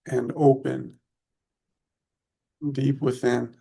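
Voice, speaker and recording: A man leading a guided meditation in short, unhurried, soothing phrases with long pauses between them. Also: mid-range voice, level tone, vocal fry, dark tone, breathy edge.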